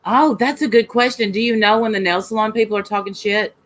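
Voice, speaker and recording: A woman hosting a relaxed conversation. She talks candidly and pokes fun at herself, with casual swearing mixed in. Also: fairly high pitch, fairly quick. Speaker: host. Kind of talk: casual chat.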